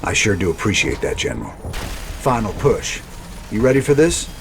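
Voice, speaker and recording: An actor playing a weary, resolute rebel commander in a video game, plain-spoken and folksy with a Southern American accent. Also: male voice, lowish voice, big pitch swings, moderate pace, vocal fry, background noise. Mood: earnest. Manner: laconic, folksy. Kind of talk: video game cutscene acting.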